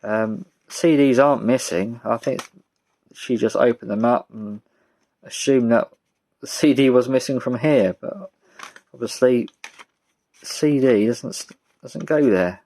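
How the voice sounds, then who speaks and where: A British man giving a casual, rambling show-and-tell as a vlogger, speaking in a halting, low-key way. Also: darkish tone. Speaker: vlogger showing a music collection.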